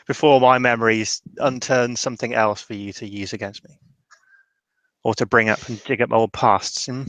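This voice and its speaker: A man acting a bitter, tormented mage, halting delivery. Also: medium pitch, fairly even intonation, a bit bright, dry recording. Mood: defensive, agitated. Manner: halting, emotional, swearing, trailing off mid-sentence. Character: tormented adult male mage, bitter, regretful, defensive. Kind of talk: improvised tabletop roleplay monologue.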